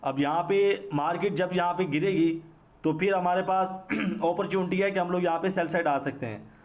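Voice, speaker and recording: A man's voice: high voice, moderate pace. A man with a Pakistani accent and a sore throat, teaching in an unscripted, repetitive screen-share tutorial style and mixing in English terms. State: sore throat, clearing it now and then. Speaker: trading instructor.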